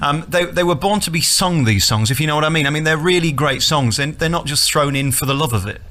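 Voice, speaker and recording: A man hosting a radio interview, teasing and flattering his guests. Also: a little high. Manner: teasing, flattering, fast-talking. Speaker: radio host.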